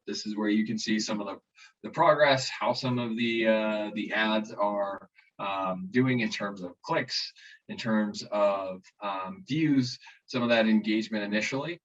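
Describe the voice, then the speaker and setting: A man giving a live online software walkthrough as a trainer, haltingly, repeating and restarting his phrases. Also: medium pitch. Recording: muffled, distant mic, online meeting.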